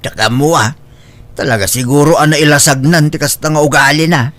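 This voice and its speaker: A man's voice: fairly high pitch, fairly even intonation, fairly quick, fairly bright tone, no echo, natural voice. A man with an Ilocano accent playing a mild, appeasing villager in a comedy drama. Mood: chatty. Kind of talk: Ilocano comedy radio-style drama acting.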